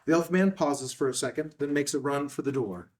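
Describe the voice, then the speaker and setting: A man's voice: on the high side, brisk. Distant-miked man narrating a screenplay. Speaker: narrator reading stage directions. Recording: distant microphone.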